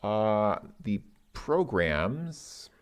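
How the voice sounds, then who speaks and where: A man's voice: on the low side, sing-song, drawn-out words, clean tone. A man giving an informal language lesson, hesitantly translating and thinking aloud as he goes. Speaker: language learner presenting a Russian lesson.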